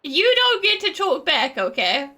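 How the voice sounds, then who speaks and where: Woman streamer, slow, highish bright voice, playful, bantering game reactions. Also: huge pitch swings. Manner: playful, bantering, talks back to characters. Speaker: gaming streamer. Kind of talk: casual playthrough reaction commentary.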